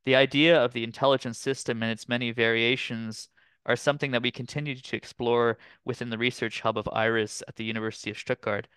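Canadian-born guy in his 30s lecturing over a video call, smooth clear voice, dry close sound, loose and chatty. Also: medium pitch, fast, dry recording. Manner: relaxed, chatty, frequent fillers and "too". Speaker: guest lecturer. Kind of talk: online academic presentation. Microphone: computer mic on video call.

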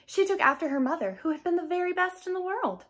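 Lively young woman reading a children's story. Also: very high-pitched, quick pace. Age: young adult. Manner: animated, clearly paced storytelling. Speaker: storyteller reading a picture book aloud. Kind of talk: expressive children's read-aloud with explanatory asides. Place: small indoor room.

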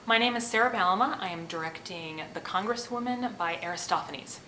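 A woman's voice: medium pitch, fairly quick, bright, forceful tone. A woman, a theatre director, explaining a play in an interview. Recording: echoey room, clear background noise.

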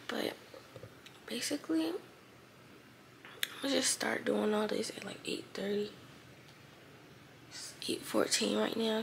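Sleepy, raspy teenage girl vlogging. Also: a little high, slight background noise. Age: teens. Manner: sleepy, just woke up, drawling and unhurried. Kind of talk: casual morning vlog talk. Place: bedroom, indoors. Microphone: phone held close at arm's length.

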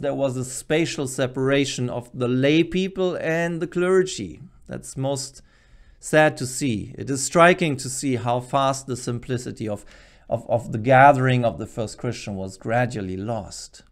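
A man's voice: mid-range voice, normal speed. Middle-aged man teaching, earnest and emphatic. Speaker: presenter, Bible teacher. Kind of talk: explanatory teaching to camera. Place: small furnished studio room at a desk, indoors. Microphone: desk microphone close in front.

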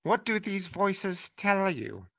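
A man playing a calm, sinister male therapist who urges his patient toward cannibalism. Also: sits high, takes it slowly, creaky, no room sound, full character voice. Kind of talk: comedy skit acting.

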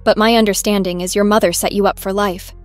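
A woman playing a formal, commanding intelligence agency chief in an audio drama, speaking in a measured way. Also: medium pitch, steady tone, no room sound, soft background music, lightly acted. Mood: persuasive. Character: authoritative female intelligence agency chief, calm and persuasive. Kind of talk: scripted audio drama acting.

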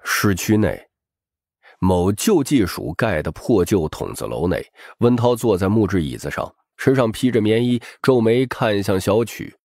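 A man's voice: on the low side, expressive, measured pace, a little mellow, no echo, clean studio sound. A man reading scripted, dramatic narration as an audiobook narrator.

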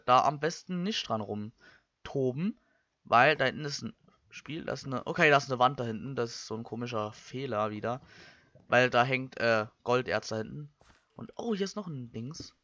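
A young man from Germany, giving casual Let's Play commentary and thinking out loud in colloquial language. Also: mid-range voice, no echo. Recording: slightly dull, lo-fi microphone.

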